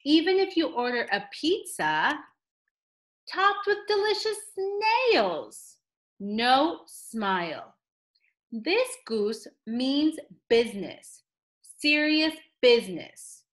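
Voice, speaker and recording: A woman's voice: sits high, very animated, slow pace, fairly bright tone. A woman reading a picture book aloud in a theatrical storytelling style, addressing a child listener with dramatic emphasis.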